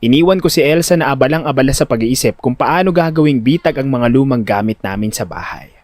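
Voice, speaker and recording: A man giving expressive, comically exasperated first-person narration of a dramatic audio story. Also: medium pitch, fast talker, dry recording. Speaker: narrator and lead voice actor.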